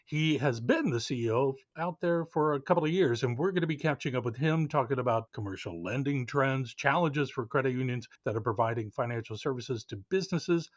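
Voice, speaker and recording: A middle-aged man hosts a podcast in a casual, chatty style. His pitch moves around in a lively way. The recording is a little dull and lo-fi. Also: brisk, few pauses. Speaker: podcast host.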